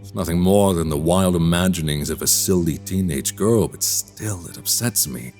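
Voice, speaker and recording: An actor playing a polite, troubled man suspected of being a vampire in a radio drama, speaking in measured, formal storyteller phrasing. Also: low voice, a little mellow, faint music behind. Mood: brooding, uneasy. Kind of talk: immersive radio drama acting and narration.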